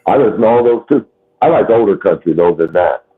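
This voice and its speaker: A man's voice: on the low side, expressive, brisk, breathy edge. A man in his late forties chatting casually as host, laid-back and swearing now and then. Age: 40s. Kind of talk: casual chat. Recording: tinny phone-like line.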